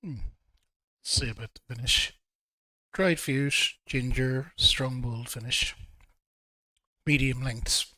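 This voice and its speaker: A man reviewing whiskey in a relaxed, hesitant way, a little throaty from a cold. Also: mid-range voice, slow pace, pauses often, no echo, rough recording. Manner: relaxed, hesitant with frequent ums. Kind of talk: casual video review.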